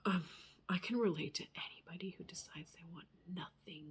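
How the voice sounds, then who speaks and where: A woman giving a casual, rambling tarot reading in a candid, musing manner, sometimes trailing off into hushed asides. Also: lowish voice, a little fast, slightly rough. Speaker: tarot reader.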